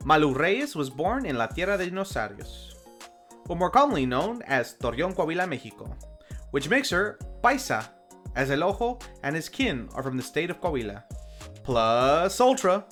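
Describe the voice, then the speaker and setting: Man, film recap narrator, playful asides, lively intonation, faint music behind. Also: a little high, normal speed. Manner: playful, tongue-in-cheek, theatrical asides. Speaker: film review show host. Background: faint background music.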